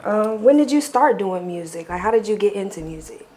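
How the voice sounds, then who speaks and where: A woman's voice: mid-range voice, animated, a little fast, warm tone, slight background noise. A woman hosts a casual sit-down interview. Her voice sits in a middle range. She asks friendly questions and answers with short, encouraging words. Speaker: interviewer host.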